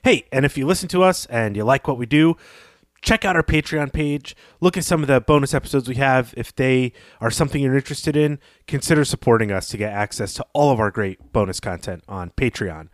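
A man's voice: mid-range voice, fairly quick, dry recording, studio quality. A man co-hosting a podcast in a conversational literary discussion style. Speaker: podcast co-host.

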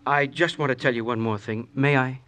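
Man acting a bright-voiced, agitated assassin. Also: mid-range voice, fairly even intonation, brisk, close to an everyday voice. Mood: guarded, evasive. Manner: agitated, evasive. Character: nervous, desperate male assassin, anxious then murderous. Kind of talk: 1950s radio drama acting.

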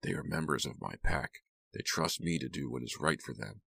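A man voicing a menacing, controlling young bridegroom and pack master in an audiobook, speaking softly and commandingly in a hushed voice. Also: very deep voice, lively intonation, measured pace, dry recording, exaggerated voice. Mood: smug, soft. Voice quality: hushed, breathy.

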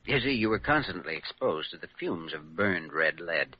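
An actor playing a brisk, probing young hospital doctor in a 1940s-style radio drama. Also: male voice, low-pitched, very animated, slightly rough, exaggerated voice. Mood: reassuring. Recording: dull, noisy old radio recording.

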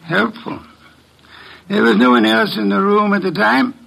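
Gravelly, imperious male detective. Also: high-pitched, steady tone, sharp tone, heavily acted. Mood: probing, brisk. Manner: precise, pompous, deliberate. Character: shrewd, imperious, pedantic middle-aged male private detective. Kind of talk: old-time American radio detective drama acting.

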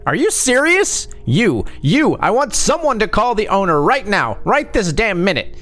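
A man's voice: on the high side, animated, normal speed, a bit bright, soft background music. A man voicing a furious, entitled middle-aged woman shopper who screeches her demands. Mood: screeching.

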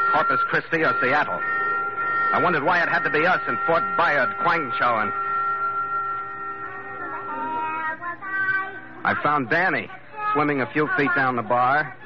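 An actor playing a tough, world-weary ship's skipper in a hardboiled radio drama, terse and sardonic. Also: male voice, mid-range voice, lightly acted. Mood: grim narrating. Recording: muffled, noisy old radio recording.

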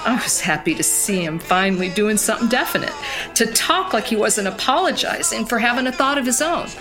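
A woman's voice: a little high, lively intonation, a little fast, close to an everyday voice. An actress playing a reflective woman who narrates memories of her youth in an audio drama, wistful and storytelling. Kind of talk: scripted first-person drama narration. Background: music.

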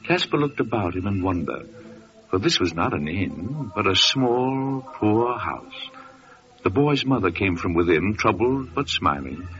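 A man's voice: fairly low pitch, expressive, unhurried, fairly bright tone, slight background noise. A man narrating a story solemnly in the style of old-time radio drama. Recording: muffled vintage radio recording with music.

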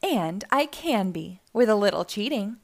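A woman plays a jealous monster truck villain in a children's storybook. The voice is sneaky and gloating, with highly animated swings in pitch. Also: measured pace, vocal fry, heavily acted. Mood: scheming. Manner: scheming, gloating.

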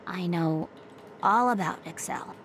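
A woman playing a sarcastic daughter who is bored, reluctant and deadpan. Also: fairly low pitch, unhurried, slight background noise. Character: bored, sarcastic daughter dragged along by dad.

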